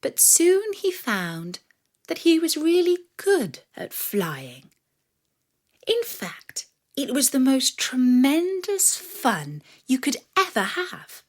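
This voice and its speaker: A woman narrating a children's story from a script with expressive, dramatic delivery. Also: sits high, takes it slowly.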